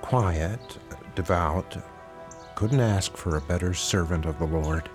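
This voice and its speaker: A church pastor with a very low male voice. He is courteous and a little guarded, speaking in an audio drama with clear music behind him. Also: slow pace.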